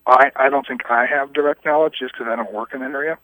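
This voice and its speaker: A man answering interview questions on a recorded telephone call, candid, with halting yet emphatic phrasing. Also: medium pitch, fairly even intonation, pushed and bright, no echo. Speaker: union vice president, anonymous interviewee.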